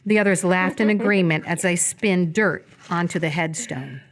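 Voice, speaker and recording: A woman's voice: fairly low pitch, normal speed. A woman narrating scripted stage action for a live radio theatre piece.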